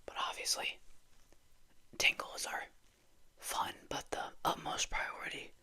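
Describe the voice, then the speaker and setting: An ASMR host talking casually and hesitantly in a soft, breathy, husky whisper, rambling in a chatty way. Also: unhurried. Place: a room at home.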